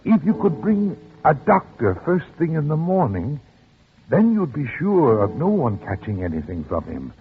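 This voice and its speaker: An actor playing an anxious older father protecting his son in a 1940s radio drama, nervous, halting and pleading. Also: fairly high pitch, sing-song, narrow, tinny audio. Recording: crackly noisy band-limited old radio recording.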